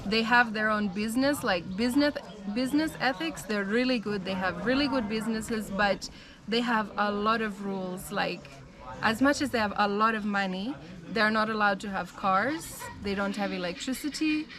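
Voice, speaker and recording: A young American woman telling her life story in a relaxed, chatty way, with frequent "um" and "like". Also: medium pitch, normal speed, crowd noise. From United States. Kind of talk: casual storytelling.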